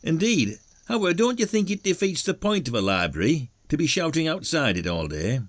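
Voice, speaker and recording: A man's voice: fairly high pitch, sing-song, brisk, dry recording. A man playing a wry, sarcastic retired village detective with a Northern English accent in a radio drama. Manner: dry, sarcastic.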